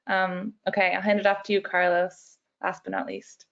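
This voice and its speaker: A woman's voice: medium pitch, little pitch movement, normal speed, dull audio. A woman moderating a panel in an upbeat, appreciative way. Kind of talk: panel discussion.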